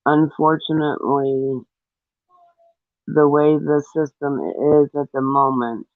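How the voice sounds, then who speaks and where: Slow, even man on phone-like audio. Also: mid-range voice, no room sound. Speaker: commentary video co-host answering viewer questions. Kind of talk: measured explanatory answers.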